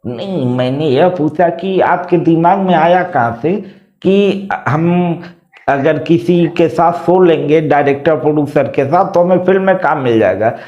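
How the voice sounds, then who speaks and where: A man's voice: a little high, measured pace, a little mellow, highs cut off. A man hosting a casual vlog and questioning a caller, pressing and repeating his questions. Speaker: channel host taking a phone call.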